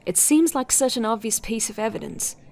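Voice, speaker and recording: An actress playing an earnest, inquisitive detective who is puzzling over a case in a sci-fi audio drama. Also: female voice, fairly high pitch, lively intonation, a little fast, a little mellow. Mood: focused. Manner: earnest, questioning.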